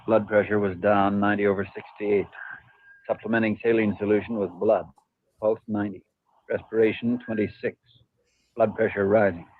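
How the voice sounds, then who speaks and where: An actor playing a businesslike, unemotional doctor in an old-time radio drama. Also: male voice, on the low side, little pitch movement, moderate pace, lightly acted. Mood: clinical. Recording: tinny, band-limited old radio recording.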